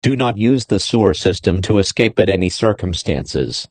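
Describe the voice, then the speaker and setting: Low-pitched man reading emergency alerts. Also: animated, moderate pace, dry recording. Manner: formal, terse official delivery. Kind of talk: scripted emergency broadcast announcement.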